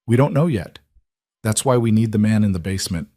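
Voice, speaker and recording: A man voicing a composed, guarded male crime boss who speaks in a measured, clipped way. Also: fairly low pitch, animated, mellow, dry recording, studio quality.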